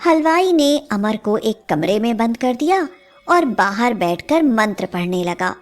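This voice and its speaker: A woman narrating a scripted fairy tale as a storyteller, in a measured manner. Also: on the high side, very animated.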